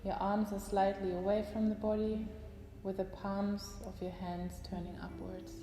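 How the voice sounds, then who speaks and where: Calm woman guiding relaxation, roomy echo. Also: medium pitch. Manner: slow, soft, calm instructions with long pauses. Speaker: yoga nidra guide. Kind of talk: guided relaxation meditation. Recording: roomy echo with long reverb, faint hiss.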